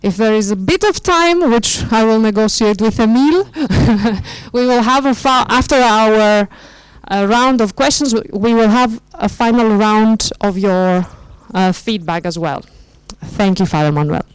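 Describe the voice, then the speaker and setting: A woman moderating a conference panel, speaking slowly and politely with some hesitation. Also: fairly high pitch, clean tone, no echo, poor audio. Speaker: session moderator.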